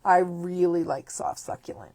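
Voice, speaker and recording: A hoarse woman with a croaky voice, chatting upbeat through a card-making tutorial step by step. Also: lowish voice, steady tone, moderate pace, mellow, no room sound. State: hoarse, losing her voice. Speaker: craft demonstrator.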